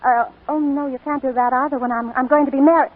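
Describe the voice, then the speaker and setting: An actress playing a flustered, wry young bride-to-be in a 1940s radio drama. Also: female voice, high-pitched, fairly even intonation, quick pace, lightly acted. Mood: flustered, hurried. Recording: tinny 1942 radio broadcast, faint music.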